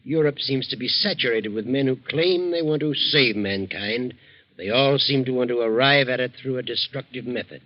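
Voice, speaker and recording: A man playing a kindly, benevolent older uncle and patron, genial and measured, in 1940s radio drama style. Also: mid-range voice, lightly acted. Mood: genial, thoughtful. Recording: muffled old 1940s radio recording.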